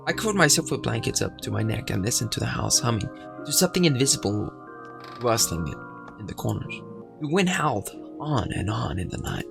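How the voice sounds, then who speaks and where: A man's voice: mid-range voice, very animated, fairly quick, vocal fry, music in the background. A man gaming on video, reading the game's text aloud and chatting with fillers. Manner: reads game text aloud, rambling with fillers. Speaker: gaming YouTuber. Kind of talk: game playthrough narration and chat.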